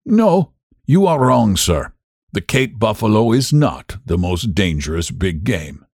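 A man voicing a courteous, menacing aristocratic general who hunts, talking slowly and deliberately in a higher put-on voice. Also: sing-song, no echo, clean studio sound. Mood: slow, cool. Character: suave aristocratic male general, a hunter, menacing.

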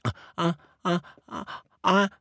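A man's voice: fairly high pitch, expressive, normal speed, dry recording, clean studio sound, pitched above the performer's own voice, natural voice. A man voicing a small, hungry baby male crocodile in a childlike, stammering way in a spoken storybook. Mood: hesitant.

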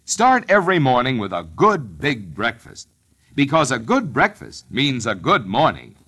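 Very animated male radio ad announcer. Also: medium pitch, measured pace, slightly rough. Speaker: commercial announcer. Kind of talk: old-time radio advertisement read.

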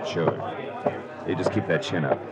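An actor playing a wry, hard-boiled private detective, dry and clipped, in 1940s radio drama style. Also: male voice, low voice, normal speed, crowd noise, close to an everyday voice. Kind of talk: 1940s radio acting with noir narration.

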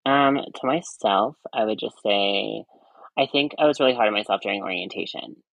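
A young man in his twenties with a high voice, a nurse chatting casually as a podcast guest, rambling with many fillers and restarts. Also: normal speed, vocal fry, fairly bright tone, no room sound, muffled recording. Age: 20s. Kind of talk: casual chat.

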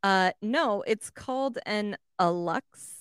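A woman's voice: medium pitch, takes it slowly, clear, resonant tone, dry recording, clean studio sound. A woman co-hosting a podcast, casually relaying odd news in an amused, informal and sweary way. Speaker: podcast co-host.

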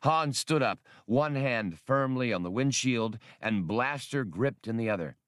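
A man's voice: medium pitch, expressive, measured pace, fairly bright tone, no room sound, studio quality. A man reading an adventure novel as scripted, dramatic audiobook narration.

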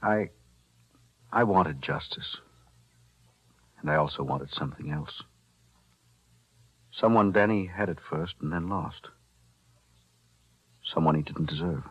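A deep earnest lawyer. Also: male voice, takes it slowly, pauses often, slightly rough, dry recording, dull audio, exaggerated voice. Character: steady male lawyer, quiet and earnest. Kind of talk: 1940s radio acting.

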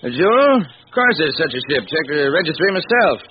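Busy port official, clipped and animated, vintage radio drama with light hiss. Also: male voice, fairly high pitch, normal speed. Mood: brisk. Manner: clipped, businesslike. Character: busy, helpful male port authority clerk. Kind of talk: old-time radio crime drama acting. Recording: dull, hissy vintage radio recording.

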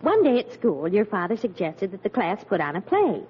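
A woman's voice: mid-range voice, big pitch swings, moderate pace, husky. A woman playing a fond, amused mother chattily telling a family story in an old radio comedy. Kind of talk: 1940s radio comedy acting. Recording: muffled old radio recording, audience.

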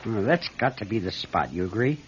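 A man's voice: on the low side, big pitch swings, a little fast. A man playing a troubled, determined airline captain in an old-time radio drama, speaking in terse, commanding bursts. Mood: troubled, intent. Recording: noisy muffled radio drama recording.

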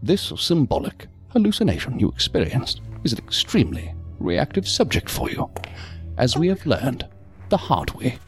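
An actor playing a calm, evasive male psychiatrist in an audio drama, speaking in a measured, formal, unctuous manner. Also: mid-range voice, faint music behind. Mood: soothing, probing.

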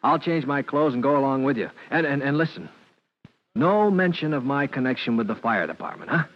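A man's voice: medium pitch, lively intonation, brisk, natural voice. An actor playing an earnest, polite young fireman with a hesitant, deferential manner, in old-time radio drama. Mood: reassuring. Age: young adult. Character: earnest, polite young rookie fireman, protective big brother. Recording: dull vintage radio recording.

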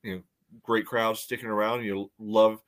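A man co-hosting a podcast, giving casual sports analysis in a halting way full of verbal filler. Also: fairly low pitch, little pitch movement, fairly bright tone. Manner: halting, peppered with "you know". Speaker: podcast co-host.

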